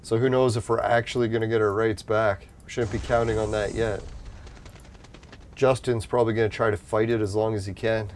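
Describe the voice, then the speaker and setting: A man's voice: on the low side, level tone, fairly quick, a little mellow, a little hiss or hum. Chatty man streaming a game. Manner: rambling, chatty, swears and laughs. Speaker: gaming streamer. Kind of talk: casual gameplay commentary.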